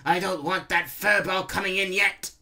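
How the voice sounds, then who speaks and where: A man voicing a hot-tempered, sneering military officer with a raspy voice, in a storybook reading. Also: high voice, fairly even intonation, normal speed, pushed and bright, voice pushed up, exaggerated voice. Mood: commanding.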